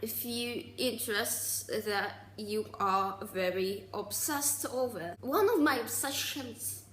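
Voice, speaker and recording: Slow-talking young woman vlogging. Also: a little high, expressive, a bit bright, echoey room, slight background noise. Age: 20s. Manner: halting, with restarts and sarcastic asides. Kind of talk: casual personal vlog.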